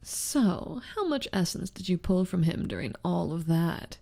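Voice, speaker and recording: Breathy, creaky, teasing succubus rival, played by a woman. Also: lowish voice, animated, a little slow, dark tone, exaggerated voice. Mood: knowing, teasing. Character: teasing young female succubus classmate, playful rival. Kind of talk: ASMR roleplay acting.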